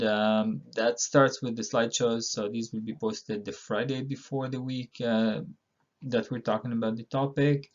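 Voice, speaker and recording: A middle-aged man from Palestine introducing an online university course as its instructor, with a relaxed delivery full of "uh" and "um". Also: medium pitch, muffled recording. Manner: relaxed, with frequent "uh" and "um" fillers.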